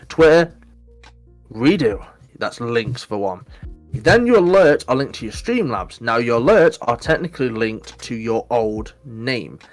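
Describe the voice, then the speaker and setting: A man talks on a casual podcast, animated and emphatic. His pitch sits in an ordinary middle range. Faint music plays underneath. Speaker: podcast guest, Twitch mod.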